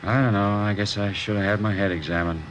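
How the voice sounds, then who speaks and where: An actor playing a fed-up working-class American welder in an old radio crime drama, blunt, slangy and resentful. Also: male voice, lowish voice, fairly even intonation, fast talker, a bit bright, lightly acted. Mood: bitter. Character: bitter, fed-up working-class welder estranged from his wife. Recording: noisy old radio recording, machinery hum.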